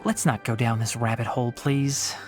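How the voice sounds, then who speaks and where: A man's voice: mid-range voice, steady tone, lightly acted. A man voicing an articulate, dry, easily exasperated young scholar in a video game. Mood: wry, exasperated. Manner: articulate, wry, measured explaining. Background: soft game music.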